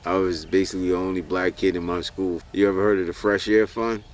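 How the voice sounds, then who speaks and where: A farmer telling his life story in a relaxed, casual way, with a laugh now and then. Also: low voice, fast talker, slight background noise. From New Hampshire.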